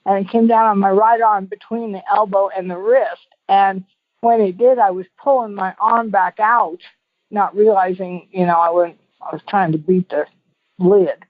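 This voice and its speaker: A woman's voice: mid-range voice, fairly even intonation, moderate pace, no room sound. A woman calling in as a guest, giving a casual, rambling, folksy personal testimonial over the telephone. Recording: tinny narrow phone line.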